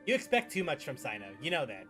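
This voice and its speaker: A man's voice: fairly high pitch, sing-song, fast talker, over music. A man streaming a game with casual, playful, rambling commentary, often repeating his phrases. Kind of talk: casual live playthrough commentary.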